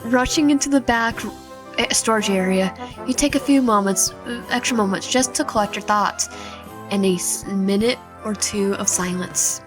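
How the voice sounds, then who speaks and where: A woman reads a visual novel aloud for a gaming video. Her delivery is halting, with stumbles that she corrects and casual asides of her own. Music plays behind her voice. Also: medium pitch. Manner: halting reading with frequent stumbles and self-corrections. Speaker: video game streamer reading aloud. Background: background music.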